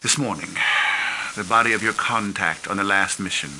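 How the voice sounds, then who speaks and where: A man playing a grave, measured spymaster. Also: on the low side, background noise, lightly acted. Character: grave older male spymaster, measured and worried. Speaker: head of a private intelligence group. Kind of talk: scripted game cinematic.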